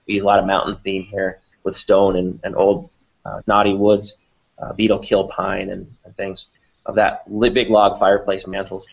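A man's voice: deep voice, monotone, moderate pace, gravelly, slightly rough. A middle-aged man explaining things as an interview guest on a video call from an office. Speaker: company president, interview guest. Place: small office room, indoors. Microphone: computer mic on a video call. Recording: dull, lo-fi video call audio.

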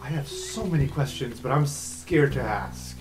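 A man's voice: medium pitch, lively intonation, normal speed, over music, lightly acted. A man voicing a confident young woman student in a tabletop game, shaken but commanding. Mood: shocked, subdued.

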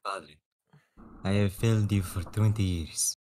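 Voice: low voice, fairly even intonation, a little slow, warm tone, a little hiss or hum. A performer voicing a vengeful, grief-stricken swordsman, theatrical and earnest, in a table read. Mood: solemn pleading. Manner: theatrical, pleading, solemn.

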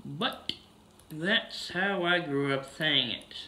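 A man's voice: fairly high pitch, slow, bright, forceful tone. A man casually narrating a farming video game, humming "mm-hmm" between steps, recorded on a camera across a room. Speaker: gameplay narrator.

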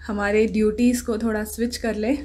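A woman's voice: mid-range voice, little pitch movement, normal speed, a little mellow. A woman in her thirties hosting a home cooking show, chatting casually and asking guiding questions. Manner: encouraging, asks guiding questions. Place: home kitchen, indoors.

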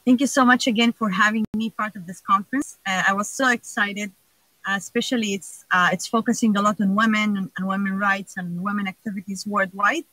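A woman's voice: medium pitch, fairly even intonation, fairly quick, bright, forceful tone, no room sound, rough recording. A woman from Gaza, speaking earnestly over a video call with many fillers. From Gaza, Palestine. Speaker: panel guest. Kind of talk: personal talk on a video call.

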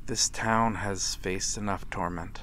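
Man playing a slow, low-voiced, melancholy vampire. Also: steady tone, sharp tone, rough recording. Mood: gentle, reassuring. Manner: formal, measured, with long pauses. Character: courteous, melancholy male vampire, lonely and mysterious. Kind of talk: slow dramatic ASMR roleplay. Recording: clear background ambient noise. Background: night harbor ambience.

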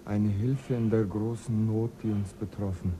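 A man's voice: fairly low pitch, steady tone, measured pace, dark tone, a little hiss or hum, close to an everyday voice, poor audio. An actor playing a tired, exasperated crewman caring for a sick shipmate in a radio play. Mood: weary. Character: weary male crewman Mill, nursing a sick mate.

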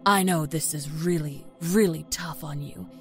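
A woman voicing an earnest, protective male sheriff in a grave, reassuring way for a dark story. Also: low voice, measured pace, pitched below the performer's own voice. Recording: music under the voice.

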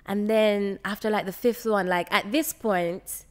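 A woman's voice: mid-range voice, steady tone, unhurried, darkish tone. A young adult woman with a Jamaican accent, telling stories casually and expressively as a podcast guest on a close mic. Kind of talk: casual conversational storytelling. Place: bright indoor open-plan room on a sofa. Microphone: close podcast mic on boom arm.

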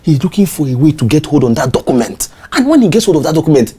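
A performer playing a scheming, two-faced caretaker with a Nigerian accent, who stammers and coaxes to calm people down. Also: mid-range voice, fast, a little hiss or hum, close to an everyday voice. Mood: conspiratorial. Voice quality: wheedling, stammering, pleading.

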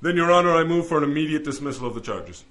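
A man's voice: a little high, expressive, rapid-fire, a bit bright. Man acting a wry courtroom lawyer, swooping delivery. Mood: triumphant. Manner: theatrical, probing questioning. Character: wry, shrewd male lawyer pleading in a celestial court. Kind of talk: audio drama courtroom acting.

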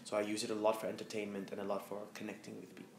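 A man's voice: lowish voice, monotone, rapid-fire. A man in his thirties from the Netherlands, speaking as a guest before a small audience in a candid, reflective way with many fillers. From Amsterdam, Netherlands. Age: 30s. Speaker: guest, fashion stylist. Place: room with a live audience. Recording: roomy echo, faint noise.

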